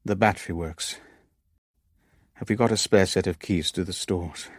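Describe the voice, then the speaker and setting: A man's voice: deep voice, moderate pace, dry recording. An actor playing a pragmatic, sceptical businessman in a radio drama, with a dry, understated way of talking. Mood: worried, grim. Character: pragmatic, wry male businessman, sceptical and worldly. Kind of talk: radio drama dialogue and first-person narration.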